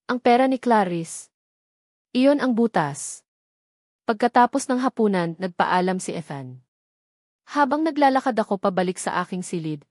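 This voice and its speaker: A woman's voice: medium pitch, a little mellow, no echo, clean studio sound. A woman with a Filipino accent reading a scripted dramatic story as its narrator.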